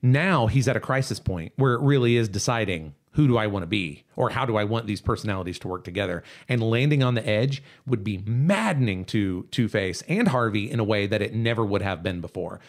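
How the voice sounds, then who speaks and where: A man's voice: lowish voice, fairly quick, creaky, few pauses. A man hosting a podcast, talking casually about pop culture in an analytical, emphatic way. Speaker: podcast host.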